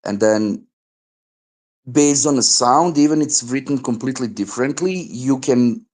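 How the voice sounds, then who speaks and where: A man whose first language is Bosnian, joining a casual talk show conversation and speaking haltingly with many fillers and repeated words. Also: mid-range voice, darkish tone.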